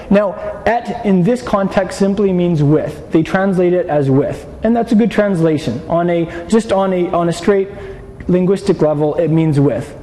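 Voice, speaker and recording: A man's voice: sits high, dark tone. Teaching man in an echoing hall. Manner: explanatory, asks the class questions, frequent restarts. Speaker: Bible teacher. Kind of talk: interactive classroom teaching.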